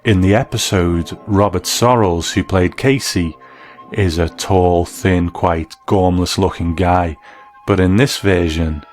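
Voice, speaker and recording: Male presenter, deep register, reflective scripted review narration, music underneath. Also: fairly even intonation, takes it slowly. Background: background music.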